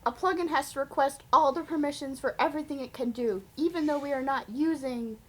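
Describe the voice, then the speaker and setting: A woman's voice: high-pitched, brisk, lightly acted, rough recording. A woman voicing a chirpy, eager mascot creature who playfully co-hosts a coding show. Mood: explanatory. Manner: chirpy, exclamatory, eager co-host. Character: Dash, perky female mascot creature supervising a programmer.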